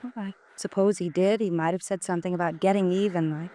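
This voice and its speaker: A woman's voice: lowish voice, steady tone, fast, dark tone, close to an everyday voice. Woman playing an evasive, coquettish American society wife. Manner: coaxing, evasive, languid. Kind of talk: 1950s radio drama acting.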